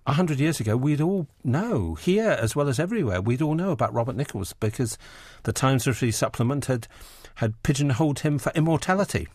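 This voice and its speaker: A man's voice: mid-range voice, animated, brisk, hardly any pauses, a little mellow, dry recording. A man in his sixties with an English accent, an interview guest who talks about books and poetry with many ums and restarts. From England. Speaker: interview guest, poet and editor. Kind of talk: relaxed radio interview conversation.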